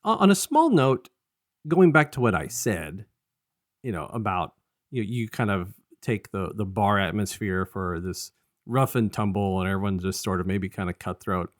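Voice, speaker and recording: A man co-hosting a casual review podcast, talking in a halting, rambling way and often restarting his sentences. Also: lowish voice, lively intonation, dry recording, studio quality. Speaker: podcast co-host.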